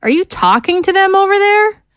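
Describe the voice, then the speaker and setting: A woman playing a high-voiced camp guard. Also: sing-song, moderate pace. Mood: casual, curt. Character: brisk female camp guard reporting to her captain. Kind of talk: audio drama acting.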